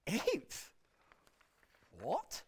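Brash, blustering Scottish dad. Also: male voice, drawn-out words. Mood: disbelieving. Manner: blustering, irreverent. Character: brash, hard-drinking father of a reality TV family. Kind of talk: comedy drama acting.

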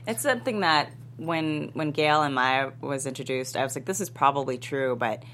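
A woman's voice: extremely low pitch, normal speed, a bit bright, a little hiss or hum. An American woman from Texas chatting casually as a panelist, acting out little quoted lines as she goes. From Texas, USA. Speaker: table read panelist.

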